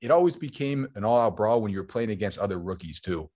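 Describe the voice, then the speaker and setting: Fast-talking low-voiced man telling stories. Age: 20s. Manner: relaxed, rambling, says "man" often. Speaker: guest, former football player turned entrepreneur. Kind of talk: casual interview storytelling.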